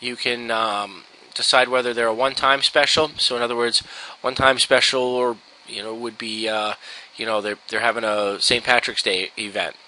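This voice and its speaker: An American man giving an informal software tutorial, explaining step by step in a casual, instructional way. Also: medium pitch, steady tone, moderate pace, low-quality recording. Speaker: software trainer. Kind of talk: informal screen-share tutorial. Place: indoors, at a computer.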